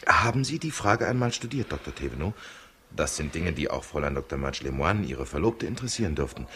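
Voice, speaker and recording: A deferential young junior doctor with an extremely deep voice. He speaks in a pedantic, scholarly way, as in a 1960s radio drama, over faint noise. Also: sing-song, a little fast, pushed and bright, heavily acted. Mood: earnest, scholarly. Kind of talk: 1960s German-language radio crime drama acting.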